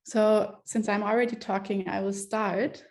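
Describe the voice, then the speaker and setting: A woman's voice: mid-range voice, steady tone, measured pace, a little mellow. A woman filmmaker who was born in Germany and grew up in Ethiopia, speaking reflectively in an online panel over a video call.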